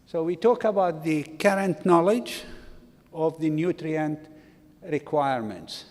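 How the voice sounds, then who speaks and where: A man in his sixties, originally from Iraq, giving a slow, halting academic talk at a conference. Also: on the high side, darkish tone. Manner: slow, halting delivery with restarts. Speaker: conference speaker. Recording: long reverb of a conference hall.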